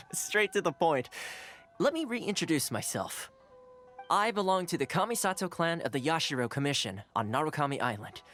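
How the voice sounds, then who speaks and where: A young clan attendant in a video game, affable and easygoing. His pitch moves freely as he smooth-talks with courteous, teasing charm. Faint music plays underneath. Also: very animated, normal speed, a bit bright. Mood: friendly, explaining. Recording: music behind the voice.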